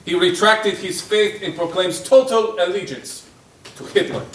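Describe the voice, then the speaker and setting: A man's voice: high-pitched, animated, a little slow, fairly bright tone, slight background noise. A man acting a scheming, cynical Italian fascist in a stage play, arguing in a persuasive, theatrical way. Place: indoor theatre stage, recorded from a distance. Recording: echoey stage, distant mic.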